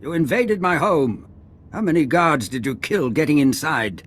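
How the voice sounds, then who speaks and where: An actor playing a proud, indignant duke in a video game, haughty and emphatic. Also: male voice, fairly high pitch, lively intonation, normal speed. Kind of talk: dramatic video game acting.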